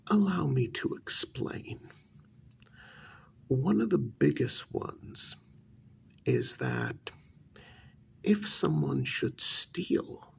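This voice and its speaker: A man voicing a cruel, haughty vampire in an ASMR role-play, gravelly and breathy, often sinking into a whisper, with a theatrical, condescending delivery. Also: mid-range voice, huge pitch swings, drawn-out words, pauses often, full character voice. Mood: haughty, threatening. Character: cruel, haughty high-society male vampire, menacingly amused.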